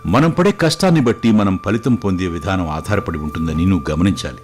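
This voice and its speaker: A man playing a calm, wise fatherly king who gives measured, reassuring moral advice in a storybook tale. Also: low voice, faint music behind. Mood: patient, encouraging. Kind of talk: scripted moral story dialogue.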